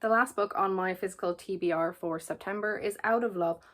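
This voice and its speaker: A woman's voice: mid-range voice, brisk, a bit bright. Chatty young Irish woman talking books. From Ireland. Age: 20s. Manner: chatty and earnest, repeats words for emphasis, laughs often. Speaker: book vlogger. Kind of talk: casual book chat to camera.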